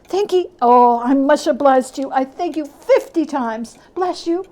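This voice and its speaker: A woman voicing a reformed old miser in a storybook reading, a stammering, effusive and polite old gentleman overflowing with eagerness. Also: sits high, a little slow, pitched above the performer's own voice. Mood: eager, grateful. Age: older.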